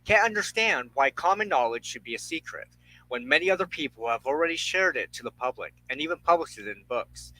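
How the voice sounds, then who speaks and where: Bright-voiced male podcast host. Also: a little high, expressive, fairly quick, rough recording. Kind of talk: casual conversational interviewing.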